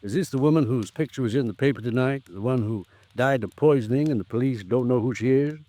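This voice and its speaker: An American actor playing a courteous small-town attorney in a 1950s radio drama, asking measured, polite questions. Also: male voice, medium pitch, mellow, dry recording, lightly acted. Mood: probing, courteous.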